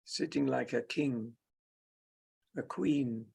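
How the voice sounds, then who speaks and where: Slow, gravelly man guiding a meditation. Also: mid-range voice, darkish tone. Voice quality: gravelly, husky. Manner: unhurried, short phrases with long pauses. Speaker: meditation teacher. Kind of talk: guided meditation, spoken slowly and softly.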